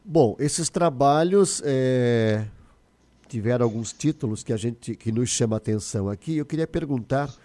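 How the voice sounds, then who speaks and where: A man's voice: mid-range voice, lively intonation, moderate pace, dark tone. A man hosting a live news interview on the radio.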